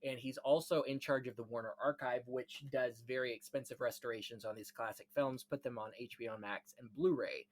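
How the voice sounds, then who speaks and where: A man's voice: medium pitch, steady tone, fairly quick, hardly any pauses. A man hosting a casual film history discussion in an earnest, informative, chatty way. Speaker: podcast host, film history enthusiast.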